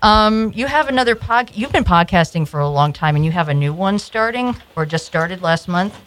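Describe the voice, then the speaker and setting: A woman's voice: fairly low pitch, animated, moderate pace, a bit bright. A woman from New York City hosting an internet radio show in casual studio chat, laughing often and talking with lots of fillers and restarts. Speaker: radio host.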